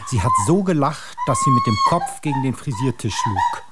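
A man's voice: a little high, huge pitch swings, normal speed, very noisy. A man narrating a scripted absurdist radio drama as its storyteller.

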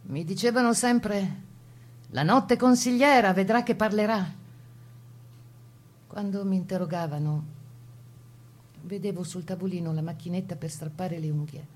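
An actress playing a captured partisan woman who recounts her ordeal in a grave, intimate, husky stage monologue. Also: mid-range voice, measured pace, long pauses, darkish tone, lightly acted. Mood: haunted, fearful. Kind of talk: dramatic monologue of wartime testimony.

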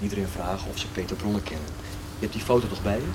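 An actor playing a grumbling, quick-tempered travelling companion in a radio soap. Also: male voice, on the low side, noisy background, natural voice.

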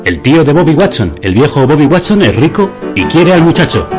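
An actor playing a solemnly absurd, exasperated yet doting husband in radio theatre, with theatrical delivery. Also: male voice, on the high side, sing-song, fairly quick, music in the background. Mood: matter-of-fact.

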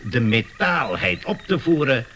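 An actor in a radio comedy playing a proud, obsessive amateur inventor and bird breeder, self-important, emphatic and easily exasperated. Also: male voice, mid-range voice, sing-song, a little slow, a bit bright. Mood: proud, eager. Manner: cooing over pets, self-important, emphatic explaining. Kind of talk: comic radio play acting. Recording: noisy early-1980s radio play recording.

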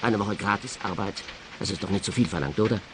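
Schauspieler als ernster Schrottplatzchef, leichte Hintergrundgeräusche. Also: male voice, lowish voice, quick pace, a bit bright, slight background noise, lightly acted. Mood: aggrieved, firm. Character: earnest, matter-of-fact male scrapyard boss. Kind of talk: radio play dialogue.

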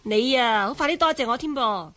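Young martial heroine, Cantonese accent, high-pitched, girlish, polite, exclamatory, radio drama. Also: lively intonation, brisk, a bit bright. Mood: bright, polite. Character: lively, polite young swordswoman.

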